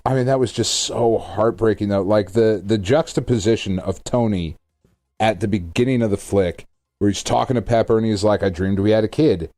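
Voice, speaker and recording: A man's voice: fairly low pitch, normal speed, warm tone, no echo. Guy on a podcast, casual n sweary, really leans on his words, dry sound. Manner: emphatic, sweary, exclamatory. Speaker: podcast co-host. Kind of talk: casual chat about films.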